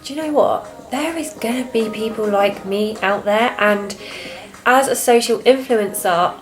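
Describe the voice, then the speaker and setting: Soft-spoken young British woman vlogger. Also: fairly high pitch. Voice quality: soft, quiet voice. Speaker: fitness vlogger and influencer. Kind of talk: casual confessional chat to camera.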